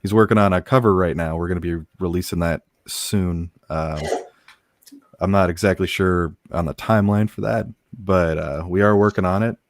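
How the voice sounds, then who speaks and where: Low, dry-voiced man pitching his comic. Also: dry recording. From English- and German-speaking. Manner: laid-back, halting with many fillers. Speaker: guest comic creator. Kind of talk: casual podcast chat.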